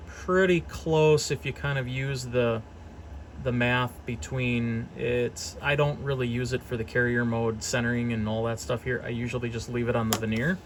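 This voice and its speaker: Guy doing a casual gear demo, talks slow n kinda hesitant, medium pitch, bit of noise behind him. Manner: hesitant, thinking aloud. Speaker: host, hobbyist repair technician demonstrating equipment. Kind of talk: casual unscripted equipment demonstration. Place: service workshop, indoors.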